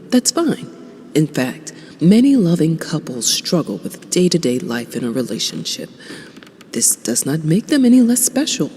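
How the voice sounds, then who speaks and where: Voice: mid-range voice, a little mellow. An actor voicing a reassuring, polished, salesy announcer for a relationship counselling advert inside a video game. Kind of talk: scripted advertisement parody in a game. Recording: reverberant hall, faint background noise.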